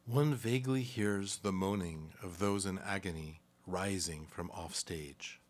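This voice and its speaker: A man narrates a radio play from a script. His voice is on the low side as he reads out the stage directions. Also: unhurried.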